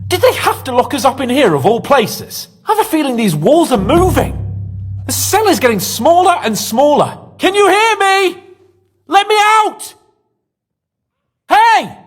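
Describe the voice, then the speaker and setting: Brash young nobleman, hugely animated. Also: extremely high pitch, unhurried, faint music behind, heavily acted. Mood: panicked. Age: young adult. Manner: theatrical, animated. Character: brash, excitable, cocky young nobleman with foul mouth. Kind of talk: video game voice acting.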